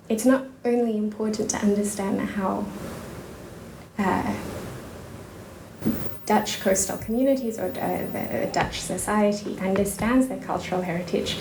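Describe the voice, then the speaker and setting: A woman's voice: medium pitch, fairly even intonation, unhurried. A woman from Indonesia, a researcher guest in a casual interview, speaking thoughtfully with many fillers and restarts. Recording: echoey room with noise.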